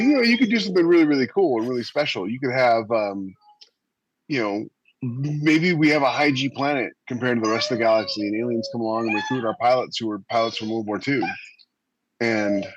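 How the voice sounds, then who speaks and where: A man's voice: medium pitch, a bit bright, lo-fi sound. A man chatting casually as a podcast co-host, wry and emphatic, repeating himself for effect. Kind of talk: casual chat.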